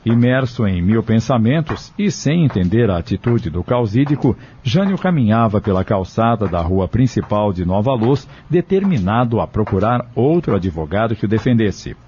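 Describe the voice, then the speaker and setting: A man with a husky, mellow voice narrating a scripted audio drama. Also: on the low side, sing-song, moderate pace, hardly any pauses, a little hiss or hum. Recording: dull, lo-fi recording.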